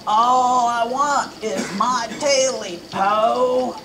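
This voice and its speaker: A ghostly creature calling out for its lost tail in a slow, hollow wail, as a woman reads it aloud. Each word is stretched long and breathy, and the voice sounds spooky and soft. There is a clear hiss of room noise behind it. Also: a little high, voice pushed up. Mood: eerie.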